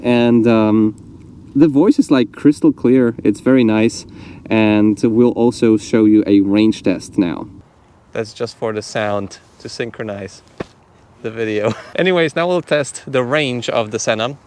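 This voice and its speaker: A man's voice: medium pitch, a little slow, mellow, slight background noise. A man giving a casual, chatty product demonstration as a vlogger.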